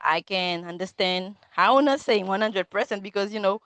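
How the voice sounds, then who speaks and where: A Haitian-accented woman in her thirties, a native Haitian Creole speaker, chats over a video call with a smooth, clear voice. She rambles, laughs often and leans on fillers. The sound is dry and close. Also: medium pitch, normal speed, fairly bright tone, dry recording. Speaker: student participant. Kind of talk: casual conversation club answer.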